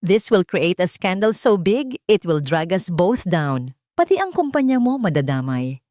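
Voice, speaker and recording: A woman's voice: lowish voice, very animated, unhurried, darkish tone, dry recording, studio quality. A woman voicing an arrogant but rattled rich male schemer in an audio drama story. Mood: defiant.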